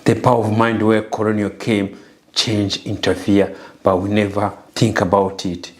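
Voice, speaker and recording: A middle-aged man with a Rwandan accent delivers a spoken message like a preacher, deliberate and earnest, repeating phrases for emphasis. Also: fairly low pitch, little pitch movement. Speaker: speaker and preacher of African spirituality.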